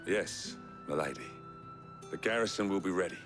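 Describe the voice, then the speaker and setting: A man's voice: low voice, moderate pace, music in the background, close to an everyday voice. A man playing a gallant, practical soldier in a video game drama, plain-spoken and persuasive. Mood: gallant, steady.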